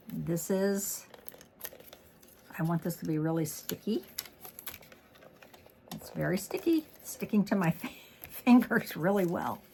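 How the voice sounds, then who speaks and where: A middle-aged woman demonstrating card making in a chatty step-by-step tutorial, slightly hoarse as she is losing her voice. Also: on the low side, a little hiss or hum. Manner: chatty, walks through each step. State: losing her voice, throat clearing. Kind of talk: casual crafting tutorial.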